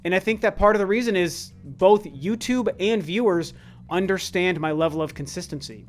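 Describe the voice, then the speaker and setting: A high-pitched man of about forty talks through viewer questions in a relaxed, earnest way. His voice sounds worn and tired, and he often restarts his sentences. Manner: earnest, conversational, with frequent restarts. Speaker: YouTube channel host. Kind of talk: casual Q&A chat.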